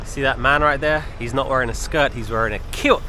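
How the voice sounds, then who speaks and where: An English man chats to his viewers as a travel vlogger walking outside. His voice sits at an average pitch, and he talks casually and keenly, with plenty of emphasis. Faint city and traffic noise runs behind him. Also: brisk. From England. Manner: enthusiastic, repeats intensifiers. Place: outdoors on city streets and in a park.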